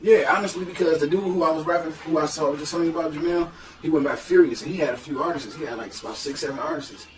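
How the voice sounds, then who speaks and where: A man with a Detroit accent, a guest rapper telling stories in slangy, animated casual podcast talk. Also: a little high, fast talker, few pauses, echoey room, distant mic. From Detroit, USA.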